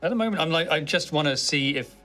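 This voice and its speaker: A man's voice: on the high side, expressive, quick pace, sharp tone, soft background music. A man playing a tabletop role-playing game, talking through questions and plans casually.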